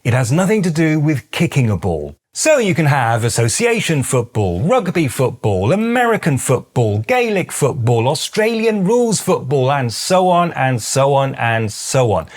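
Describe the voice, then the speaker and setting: A man's voice: medium pitch, sing-song, moderate pace, hardly any pauses. A middle-aged British man with a British accent, hosting a scripted explainer with precise, dryly wry delivery. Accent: British English. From Britain. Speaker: YouTube host.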